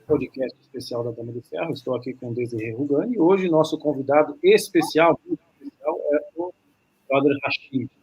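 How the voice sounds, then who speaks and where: A man's voice: medium pitch, expressive, fast talker, a little mellow, husky, no echo. A man with a Brazilian accent, a native Portuguese speaker, formally introducing a podcast as its host with careful, slightly hesitant phrasing. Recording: dull lo-fi call audio.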